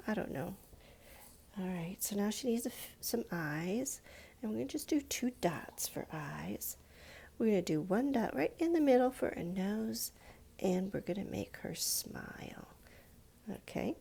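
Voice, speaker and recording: Female children's drawing teacher, mid-pitched with noticeable vocal fry, informal and patient, explaining step by step. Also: a little mellow. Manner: simple, patient explaining with questions to young listeners.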